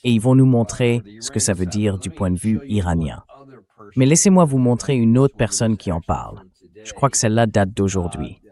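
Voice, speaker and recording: A man's voice: on the low side, darkish tone. A man hosting a political commentary show in a French translated voice-over, speaking critically with incredulous rhetorical turns.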